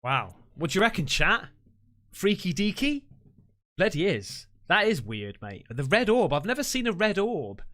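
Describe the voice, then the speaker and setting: A man hosting a live stream, chatting with callers in a chummy, excitable way full of slang. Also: high voice, moderate pace. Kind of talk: casual live chat.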